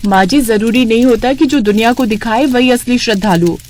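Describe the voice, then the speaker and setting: A woman's voice: fairly high pitch, brisk, darkish tone, close to an everyday voice. A woman voicing an outspoken, fed-up young daughter-in-law in a cartoon family story. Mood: indignant. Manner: indignant, talks back.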